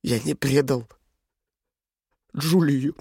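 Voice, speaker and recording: An actor playing a broken but inwardly defiant political prisoner in an audio drama, halting and brooding in his delivery. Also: mid-range voice, warm tone, husky, no echo, studio quality, full character voice. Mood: subdued.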